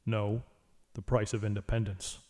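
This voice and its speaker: An actor playing a grim, stern vigilante detective in a noir radio drama, terse and brooding. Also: male voice, lowish voice, flat delivery, moderate pace, background noise, natural voice.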